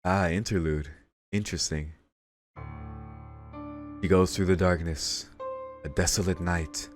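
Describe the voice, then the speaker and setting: A man's voice: low voice, fairly even intonation, a little slow. A man hosting a let's read, reading visual novel narration aloud and dropping in casual asides. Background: game music.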